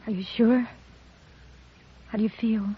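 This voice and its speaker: A woman's voice: fairly high pitch, steady tone, unhurried, close to an everyday voice. A woman playing a timid, worried young rancher's daughter in a 1950s radio western. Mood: worried, meek. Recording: muffled, noisy old radio recording.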